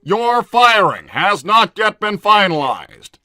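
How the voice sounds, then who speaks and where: A man playing a literal-minded combat robot who speaks in formal, deadpan, stilted sentences in a livestream roleplay. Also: high voice, slow pace, no echo, heavily acted. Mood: flat, official. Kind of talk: in-character livestream roleplay, reading viewer messages.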